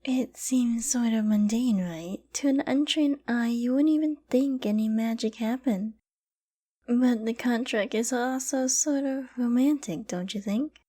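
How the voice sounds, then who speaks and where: A woman voicing a possessive, lovestruck, dominant fox-girl mage in an intimate ASMR roleplay, speaking softly and often dropping to a whisper. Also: on the high side. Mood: pleased, tender. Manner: soft, slow, breathy, often dropping to a whisper.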